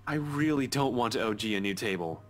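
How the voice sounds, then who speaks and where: A man's voice: lowish voice, animated, a little fast, natural voice. An actor playing a teasing, slightly awkward young man in a visual novel game, with casual, playful delivery. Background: music.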